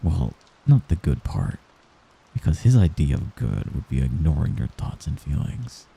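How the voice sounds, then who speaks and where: A man playing a young mafia heir in an intimate ASMR roleplay, a gentle, hesitant and possessive friend who gives orders apologetically. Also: very deep voice, huge pitch swings, gravelly, a little hiss or hum, full character voice, rough recording. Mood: shy, smitten. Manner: soft-spoken, halting, apologetic while giving orders.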